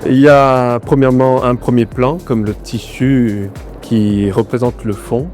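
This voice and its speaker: A man's voice: medium pitch, flat delivery, a little slow, a little mellow, faint music behind. A man, born in Argentina and raised in France, answering interview questions about his artwork with thoughtful, hesitant phrasing. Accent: no regional accent. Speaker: exhibiting artist, interview guest. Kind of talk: casual interview at an art fair.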